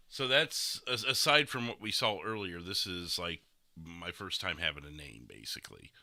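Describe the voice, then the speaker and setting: A man's voice: deep voice, animated, a little slow, long pauses, bright, forceful tone, no echo, clean studio sound. A man hosting a stream, chatting casually and telling stories in a rambling, chuckling way. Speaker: host and streamer. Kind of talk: casual podcast chat over game roleplay.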